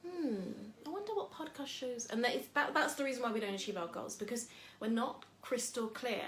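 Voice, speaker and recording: A woman's voice: a little high, a little fast, a bit bright, roomy sound, slight background noise. A woman from England hosting a casual motivational talk. She talks in a chatty, rambling way with lots of fillers. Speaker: host.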